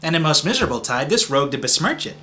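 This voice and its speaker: A man's voice: fairly high pitch, very animated, racing, sharp tone, slight background noise. A man playing a naive, eager, bumbling sidekick knight in a mock-Shakespearean dramatic recitation. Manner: eager, bewildered.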